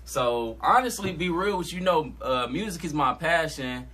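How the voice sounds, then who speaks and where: A man's voice: a little high, lively intonation, normal speed, pushed and bright, a little hiss or hum. A young man from Georgia, a rapper talking casually and laid-back in a podcast interview. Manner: laid-back, talks in slang. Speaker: guest rapper.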